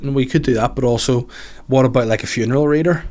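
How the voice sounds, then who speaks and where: A man's voice: medium pitch, fast, a little mellow. A young man, a comedian co-hosting a podcast, chatting casually with lots of restarts, laughter and swearing. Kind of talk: casual chat.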